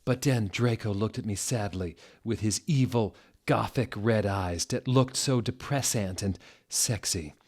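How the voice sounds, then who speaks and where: Man, lowish voice, very unhurried pace, theatrical comic narration, mock-serious, deliberate. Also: a little mellow. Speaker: reader of fan fiction. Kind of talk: dramatic comic reading, scripted narration.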